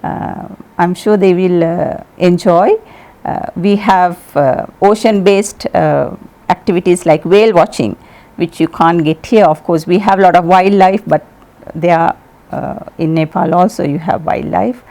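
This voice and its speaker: A woman whose first language is Sinhala, from Sri Lanka, giving measured, diplomatic interview answers with frequent pauses. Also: lowish voice, steady tone, dark tone. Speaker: ambassador being interviewed.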